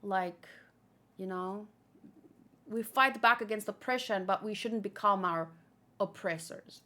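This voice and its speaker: A woman's voice: mid-range voice, measured pace, bright, forceful tone. A woman host chatting casually and informally about manga, rambling a little as she thinks aloud.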